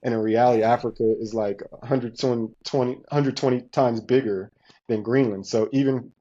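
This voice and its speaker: A man speaks in a casual podcast conversation with a dark, mellow tone. He talks emphatically, repeating himself and restarting sentences as he presses a point. The audio is muffled and lo-fi. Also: mid-range voice, fairly even intonation, moderate pace.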